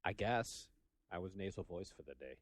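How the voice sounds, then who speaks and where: A man co-hosting a podcast, slightly nasal, self-deprecating and quick to laugh. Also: deep voice, expressive, dry recording, clean studio sound. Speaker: podcast co-host. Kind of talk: casual chat.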